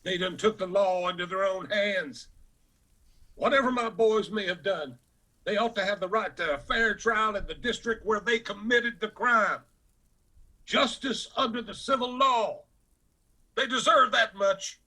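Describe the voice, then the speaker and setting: Husky, piercing, indignant Appalachian father. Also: high-pitched, unhurried, sharp tone, heavily acted, low-quality recording. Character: indignant older Appalachian father demanding justice for his sons. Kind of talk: radio drama acting.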